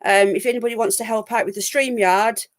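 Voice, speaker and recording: A woman's voice: mid-range voice, fairly even intonation, fast. Chatty woman host with a cold. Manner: chatty, rambling, frank, swears. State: full of cold. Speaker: livestream call-in show host. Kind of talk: casual live stream chat. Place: home. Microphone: laptop.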